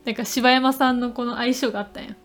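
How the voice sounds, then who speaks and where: A young adult woman co-hosting a podcast, telling stories in a chatty, animated way in casual conversation. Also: fairly high pitch, fast talker. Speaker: podcast co-host. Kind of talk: casual chat.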